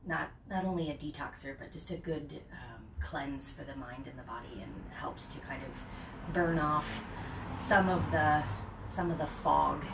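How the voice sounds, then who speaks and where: A woman's voice: deep voice, vocal fry, distant mic, background noise. Stuffed-up woman teaching yoga. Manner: chatty and rambling, then slow and soothing cues. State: stuffed up with a summer cold. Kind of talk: guided yoga class. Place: home, near a porch patio set. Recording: echoey room from off the mic.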